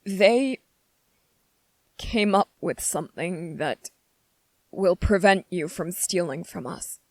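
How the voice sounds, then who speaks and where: A woman voicing a gentle, anxious young prince in a fantasy ASMR roleplay, speaking politely and formally with hesitant, halting phrasing. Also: lowish voice, lively intonation, no echo, exaggerated voice. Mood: apologetic, reassuring. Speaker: voice actor in audio roleplay. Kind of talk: ASMR character roleplay, scripted fantasy monologue.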